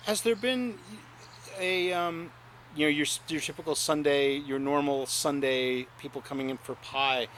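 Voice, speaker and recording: A middle-aged man hosts a friendly interview, talking in an animated, chatty way and laughing often. His voice sits at an ordinary pitch, with faint room noise behind it. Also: moderate pace. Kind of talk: casual interview.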